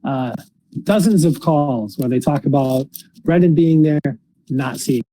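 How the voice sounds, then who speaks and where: A man hosts a podcast discussion in a very dark, soft-edged voice pitched somewhat high. He speaks haltingly, often repeating words and restarting his phrases as he talks through a case off the cuff. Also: dry recording, low-quality recording. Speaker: podcast host.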